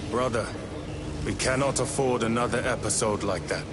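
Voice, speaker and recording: A man's voice: medium pitch, steady tone, normal speed, very noisy, natural voice. Blunt space marine battle-brother played by a man. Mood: displeased. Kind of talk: video game cutscene acting.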